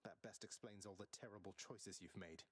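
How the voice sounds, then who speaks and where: An actor playing a defiant, sardonic young prince of the underworld in a video game, with dry, clipped delivery and a husky voice. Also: male voice, on the low side, fairly quick, noisy background, natural voice. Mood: bitter, reproachful.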